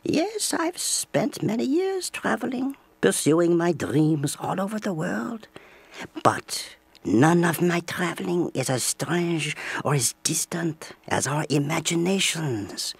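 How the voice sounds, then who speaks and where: A worldly bohemian woman for an audio play. Her voice is low and husky with a gravelly fry, and it roams widely in pitch as she muses theatrically. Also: big pitch swings, slow pace, no room sound, full character voice. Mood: wistful. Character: worldly, well-travelled dreamy French bohemian woman, a studio's muse. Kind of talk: scripted audio play banter.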